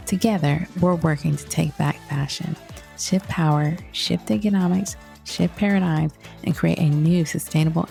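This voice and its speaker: Creaky low-voiced woman podcast host. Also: warm tone. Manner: upbeat, promotional. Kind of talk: scripted intro then interview questions.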